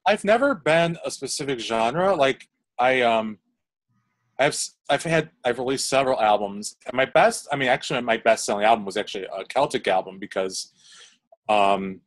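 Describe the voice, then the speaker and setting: A young man, a composer, answers questions in a loose, chatty way. His voice sits at an ordinary middle pitch. He circles his points with fillers and false starts. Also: normal speed. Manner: rambling, many restarts and fillers. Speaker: composer interviewee. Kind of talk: casual interview answers.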